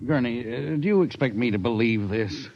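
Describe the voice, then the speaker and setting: A man plays a skeptical office boss in a vintage radio drama. He is brusque and condescending, with a medium-pitched voice. The recording is dull and old-fashioned. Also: moderate pace, faint music behind, close to an everyday voice. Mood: incredulous. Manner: brusque, patronizing. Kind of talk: 1950s radio drama acting.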